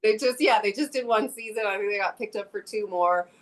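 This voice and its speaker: A middle-aged woman from Canada, an actress chatting casually as a podcast guest, frank and quick to laugh. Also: mid-range voice, a crowd in the background. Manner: easygoing, laughs often, frank. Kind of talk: casual interview chat.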